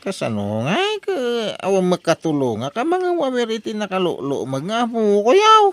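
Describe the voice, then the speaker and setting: A woman's voice: mid-range voice, wildly swooping pitch, moderate pace, dry recording. A woman playing an exasperated householder, scolding and complaining in an Ilocano comedy radio drama.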